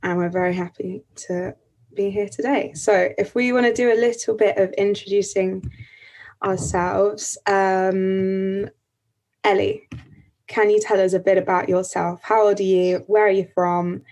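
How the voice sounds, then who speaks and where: A woman's voice: mid-range voice, flat delivery, unhurried, a little mellow. An eighteen-year-old woman from Kent moderates a panel over a video call, friendly and chatty, with frequent ums. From Kent, England. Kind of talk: casual panel introduction.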